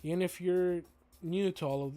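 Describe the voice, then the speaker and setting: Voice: mid-range voice, steady tone, normal speed, warm tone, dry recording, soft background music, natural voice. Streamer acting stern, formal dinosaur principal. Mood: businesslike, supportive. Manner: formal, authoritative. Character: stern but supportive male dinosaur school principal. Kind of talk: visual novel character voice read aloud.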